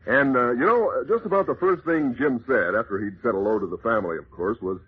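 A man's voice: medium pitch, a little fast, slight background noise. A man delivering a folksy, chummy 1940s radio commercial aimed at children. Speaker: radio advertising pitchman. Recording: thin, phone-like old radio sound.

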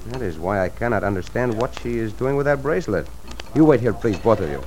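A confident Mexican cowboy adventurer from a vintage radio western. His delivery is very animated and courteous, with formal English and Spanish touches. A light hiss of old recording runs underneath. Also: fairly low pitch, fairly quick. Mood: serious, planning.

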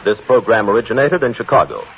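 A man's voice: on the low side, very animated, quick pace, a little hiss or hum. An American man announcing in the polished selling style of a 1940s radio commercial. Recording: muffled vintage 1940s radio broadcast.

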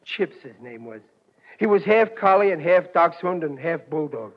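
A brash American working-class dad from an old radio comedy, pitched high for a man. He wisecracks in slangy, ungrammatical speech. The sound is dull and vintage, like a 1940s broadcast. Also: lively intonation, normal speed, husky. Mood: amused. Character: brash, good-humored working-class husband and father.

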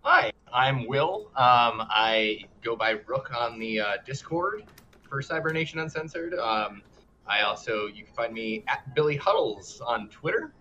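Halting man on a dull mic. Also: mid-range voice, animated, sharp tone. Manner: slow, halting, many ums. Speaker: player. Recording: dull lo-fi mic with faint noise.

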